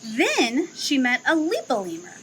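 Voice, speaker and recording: A young woman who works at a zoo reads a children's picture book aloud outdoors, in an expressive storytelling manner. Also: high voice, slight background noise. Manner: slow, expressive storytelling, livelier chat afterward. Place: outdoor zoo enclosure with trees.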